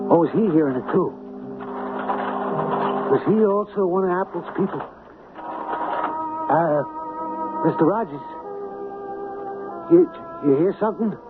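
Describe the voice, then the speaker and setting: An anxious male hustler in an old radio play. His voice is fairly high and lively, streetwise and dramatic with nerves. Music sits behind the tinny broadcast sound. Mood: anxious, urgent. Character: anxious small-time male hustler recounting his uneasy fortune. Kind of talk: 1970s radio drama acting and narration.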